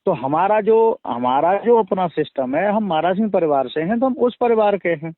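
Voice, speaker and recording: A man's voice: high voice, lively intonation, normal speed, no echo. A man with a western Uttar Pradesh accent in a phone argument, defending himself assertively and repeating his points. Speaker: religious storyteller. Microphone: phone line. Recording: tinny phone call recording.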